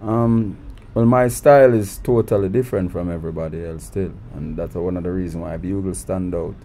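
Jamaican man, thirties, deep warm voice, restarts his sentences, casual interview talk. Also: a little fast, a little hiss or hum. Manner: relaxed, repeats words when starting sentences. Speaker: guest artist. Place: recording studio.

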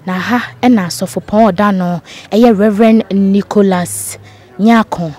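A woman's voice: medium pitch, steady tone, dark tone. A young woman with a Ghanaian accent, reporting to camera outdoors with a handheld microphone. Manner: brisk on-camera reporting with fillers. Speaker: field reporter. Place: outdoors beside a building.

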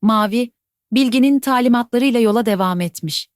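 Gentle woman telling a bedtime tale. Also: a little high, a little fast, no room sound, clean studio sound. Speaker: storyteller. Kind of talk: scripted bedtime fairy-tale narration.

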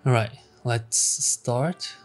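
A man's voice: medium pitch, very slow, a little mellow, soft background music. A Japanese man with a Japanese accent giving casual, playful gameplay commentary, reacting aloud and laughing often. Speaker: gaming streamer.

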